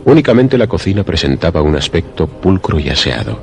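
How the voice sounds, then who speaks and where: A man narrating a suspense radio drama in a measured, literary storytelling manner. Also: low voice, sing-song, vocal fry, darkish tone. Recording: prominent music behind the voice. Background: music.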